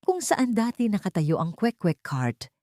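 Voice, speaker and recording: A woman's voice: mid-range voice, wildly swooping pitch, unhurried, warm tone, no room sound, clean studio sound. A woman reading a scripted story as a dramatic narrator.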